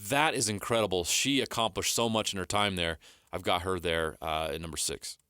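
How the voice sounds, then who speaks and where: A man's voice: sits low, brisk, pushed and bright, clear, resonant tone, dry recording, clean studio sound. A man co-hosting a sports talk show, giving emphatic, opinionated commentary as he counts down a list.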